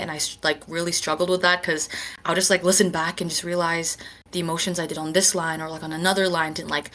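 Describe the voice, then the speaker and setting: A woman's voice: fairly low pitch, fairly bright tone. A woman chatting reflectively as a podcast panelist on an online call, informal, self-deprecating and heavy on filler words. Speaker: podcast panelist, writer and amateur voice actor. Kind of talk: casual reflective chat.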